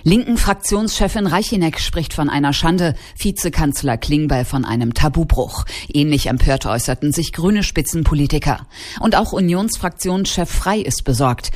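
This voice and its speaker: A woman news correspondent reading a steady, factual report from the newsroom. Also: sits low.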